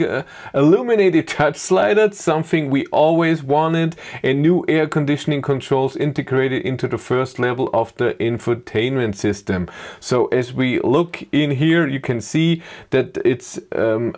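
Middle-aged German-accented vlogger, talks loosely with many "uh"s. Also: male voice, mid-range voice, darkish tone. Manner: thinking aloud with fillers and restarts. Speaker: YouTube car reviewer. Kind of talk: casual commentary over slides. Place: small dim indoor room.

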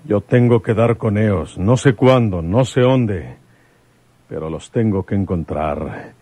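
An aging rural police commissioner from a radio serial, wounded and vengeful. The voice is low, mellow and husky, recorded close and dry. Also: male voice, expressive, unhurried, dry recording. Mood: grieving, vengeful. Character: older police commissioner, grieving and set on vengeance. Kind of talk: radionovela acting.

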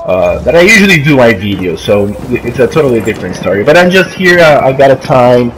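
Brazilian-accented man, Portuguese native, halting gameplay commentary, steady hiss under the voice. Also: mid-range voice. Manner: halting, searching for words, many restarts. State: coughing from allergy. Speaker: gamer. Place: friend's house while traveling. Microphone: makeshift mic, not his usual. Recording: noisy, poor-quality home recording.

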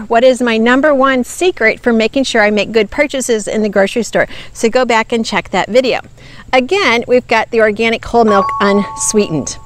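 A woman in her fifties speaks outdoors beside a wooded yard. She explains food labels earnestly and with emphasis, in a slightly high voice. Her speech is picked up close on a clip-on lapel mic. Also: slight background noise. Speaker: nutrition ministry host. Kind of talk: product review talk to camera. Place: outdoors by woods.

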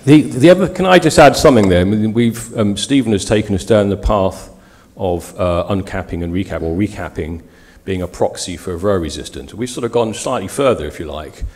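A man's voice: lowish voice, lively intonation, a little fast, a little mellow. A man co-presenting on a convention panel, explaining points steadily into a handheld mic in a lecture hall.

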